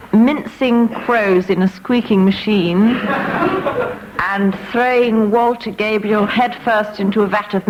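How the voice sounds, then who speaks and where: A woman giving improvised comic answers on a panel show in a deliberate manner. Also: medium pitch. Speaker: comedy panellist. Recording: dull old radio recording, faint noise.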